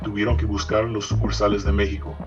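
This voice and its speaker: Interpreter for audio drama, male actor: neutral, dutiful, quick delivery, slightly dull recording. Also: lowish voice, level tone, over music, lightly acted. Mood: neutral, formal. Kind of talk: audio fiction reading.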